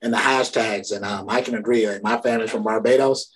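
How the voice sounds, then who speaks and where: A man in his thirties from Brooklyn hosting an interview, speaking in a relaxed, easygoing way and often adding "you know" and "right?". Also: fairly low pitch, a little fast, a little hiss or hum, poor audio. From Brooklyn, New York. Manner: laid-back, frequent "you know" and "right?". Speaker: podcast host. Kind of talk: casual interview chat.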